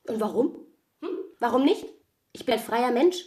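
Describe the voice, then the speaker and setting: An actress playing Veronika, a restless, blunt young actress who swears and argues in a radio drama. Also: female voice, on the high side, expressive, moderate pace, dark tone, natural voice. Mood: defiant. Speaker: actress in an ensemble.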